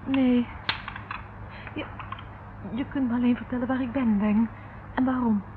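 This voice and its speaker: A woman's voice: fairly high pitch, steady tone, measured pace, natural voice. An actress playing a polite but questioning young woman held captive, in an old radio drama. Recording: muffled, noisy old radio recording.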